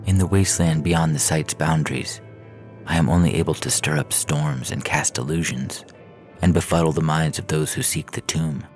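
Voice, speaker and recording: A man reading a scripted science fiction story in the first person, in a measured, literary storytelling manner. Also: extremely low pitch. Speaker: fiction podcast narrator. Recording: music bed under the reading. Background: music.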